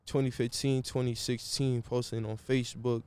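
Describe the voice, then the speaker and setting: Guy chatting easy, smooth clean voice, slangy, lots of "you feel me". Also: medium pitch, little pitch movement, moderate pace, darkish tone, no echo. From Brazil. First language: Portuguese. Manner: laid-back slangy talk, keeps saying "you feel me". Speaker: guest musician. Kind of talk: casual interview chat.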